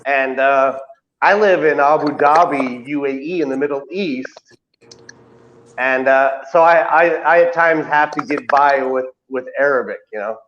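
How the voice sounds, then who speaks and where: A man co-hosting a casual interview over a video call, halting and filling pauses with "uh" and restarts. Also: mid-range voice, fairly bright tone. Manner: halting, many uh fillers and repeats. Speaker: co-host. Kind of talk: casual chat. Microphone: video call webcam. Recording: dull lo-fi call audio, faint hiss.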